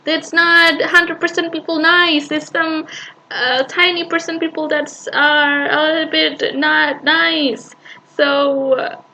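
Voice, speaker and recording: A woman's voice: high-pitched, little pitch movement, takes it slowly, vocal fry, sharp tone, slight background noise, poor audio. A woman reacting to a video she is playing, arguing back at it in an exasperated, rambling way with sighs and restarts.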